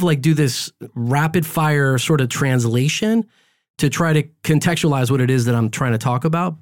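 Chatty priest host with a dry sound. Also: male voice, medium pitch, dry recording. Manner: talkative, animated storyteller. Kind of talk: casual interview chat.

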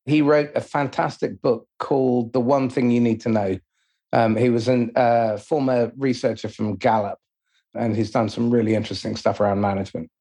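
A man's voice: mid-range voice, fairly even intonation, normal speed, warm tone. A man hosting a conversational interview, asking questions and explaining his own approach.

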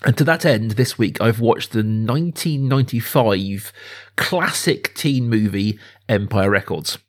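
A man's voice: lowish voice, measured pace. A man, talking casually and with rambling enthusiasm as a film podcast host. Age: 30s.

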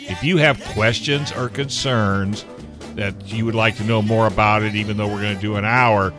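Low-voiced talk radio host. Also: male voice, fairly even intonation, music in the background. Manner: loose, halting, friendly.

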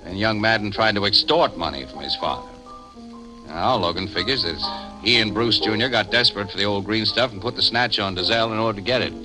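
An actor playing an easygoing, sharp-witted newspaper sleuth in a 1950s radio drama, slangy and reasoning aloud. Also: male voice, lowish voice, a little fast, music in the background, lightly acted, poor audio. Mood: wry, explaining.

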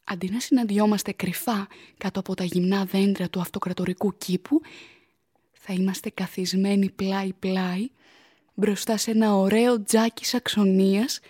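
A woman's voice: medium pitch, mellow, husky, no echo, clean studio sound, natural voice. A woman playing a pious, anxious young fiancée in a radio drama, speaking earnestly and formally with tender reproach. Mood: wistful reproach.

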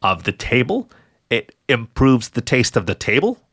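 A man's voice: medium pitch, moderate pace, breathy edge, no room sound, full character voice. Man as a weary, sarcastic jackal, very dry sound. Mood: exasperated. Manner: weary, deadpan questioning. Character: tired, exasperated male jackal roommate, dry and sarcastic.

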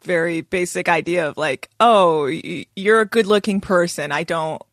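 A woman talking casually on a panel in a halting way, hedging as she finds her words. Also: lowish voice, no echo, studio quality. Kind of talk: casual chat.

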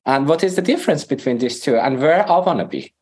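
A man with a Persian accent, a native Farsi speaker, talking as a podcast guest in earnest, halting English. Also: a little high, lively intonation, a little mellow. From Iran. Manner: earnest, halting, searching for words. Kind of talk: casual interview talk.

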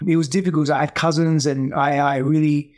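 A man's voice: fairly high pitch, level tone, a little fast, a little mellow. A man who grew up in Greece and Australia, native Greek speaker, talking earnestly in an interview, with frequent restarts and "you know.". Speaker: guest coach. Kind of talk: reflective interview talk.

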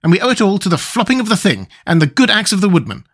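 A man voicing a pompous, educated male insect who speaks quickly and grandly. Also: sits high, very animated, pitched above the performer's own voice. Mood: joyful.

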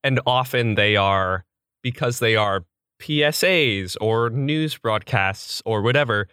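Male podcast co-host, young adult, fairly low voice, dry recording, casual and jokey, performs little imagined reactions. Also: takes it slowly, sharp tone, smooth, no room sound. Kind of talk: casual chat.

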